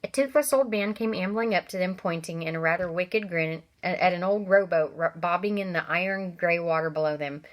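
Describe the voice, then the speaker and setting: A woman, a teacher, reading a novel aloud to children, expressive and stopping for asides to her listeners. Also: lowish voice, a little fast, few pauses.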